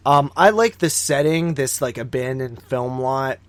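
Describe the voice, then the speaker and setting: Man, film podcast host, casual chat, slangy, sweary, mid-pitched voice. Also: unhurried, no room sound. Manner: slangy, swearing, frequent "like" and "dude".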